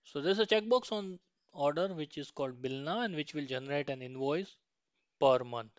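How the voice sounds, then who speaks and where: A man explains software step by step as a tutorial instructor, often checking in with short tags. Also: fairly high pitch, animated, moderate pace, smooth, dry recording, muffled recording. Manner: instructional, frequent checking tags like "right?" and "okay". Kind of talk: explanatory slide and screen-share tutorial.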